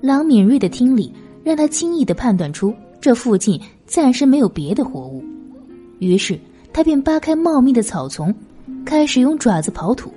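Bright, lively woman narrating a historical novel. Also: high-pitched, normal speed. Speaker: audiobook narrator. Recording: music behind the voice. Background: music.

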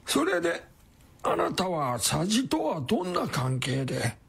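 Radio drama role: genial, chatty old distillery man, raspy, very animated intonation. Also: high voice, a little slow, exaggerated voice. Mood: gentle, modest. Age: older. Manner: old-fashioned folksy elderly speech, chatty. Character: kindly, smiling old male distillery worker. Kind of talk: Japanese radio drama acting.

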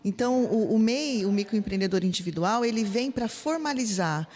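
A woman speaks as a business analyst in an office interview. She is chatty and fills her pauses with hesitations, speaking into a handheld microphone. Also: fairly high pitch, animated, a little mellow, in a large hall. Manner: chatty, with frequent "é" fillers. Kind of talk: news interview answers. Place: office indoors.

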